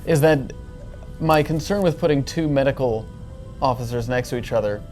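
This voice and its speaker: A man's voice: mid-range voice, normal speed, a little mellow. A man playing a tabletop role-playing game, arguing his case persuasively in casual group discussion. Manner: reasoning, persuasive. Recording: background music under the voice.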